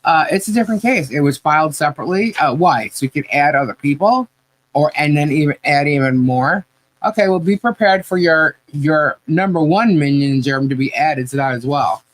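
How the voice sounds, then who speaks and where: A woman's voice: deep voice, animated, moderate pace, low-quality recording. A woman hosting a casual livestream podcast, sounding nasal today and rambling with restarts and laughs.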